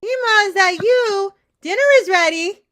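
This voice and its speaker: A woman playing a mother who fusses brightly in a sing-song call and can turn tender, in a staged play reading. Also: very high-pitched, moderate pace. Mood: brisk, motherly calling. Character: mother, brightly nagging, later tender and wistful.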